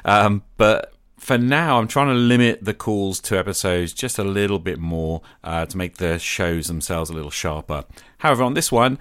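A man hosting a podcast in a conversational, earnest and reflective way, with measured phrasing. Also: deep voice, animated, fairly bright tone, clean tone, dry recording, clean studio sound. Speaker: podcast host. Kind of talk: conversational podcast talk on tabletop roleplaying.

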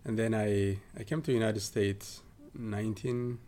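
A man from southern Ethiopia, a guest in a podcast interview, talking casually with many pauses and fillers. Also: lowish voice, fairly even intonation, mellow. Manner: hesitant, many fillers and restarts.

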